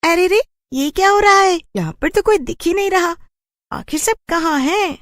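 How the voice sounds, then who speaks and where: A cranky village witch from a horror cartoon. Her voice sits very high and swings about as she scolds indignantly. She guards her territory fiercely. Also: animated, moderate pace, fairly bright tone, no room sound, clean studio sound. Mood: puzzled.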